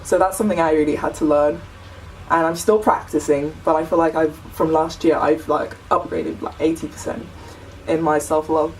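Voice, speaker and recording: Young woman vlogger, deep low voice, chatty and earnest, slightly off-mic with faint hiss. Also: steady tone, moderate pace, darkish tone, far from the mic, poor audio. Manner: chatty, earnest, many "like" and "you know" fillers. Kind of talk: casual chat to camera.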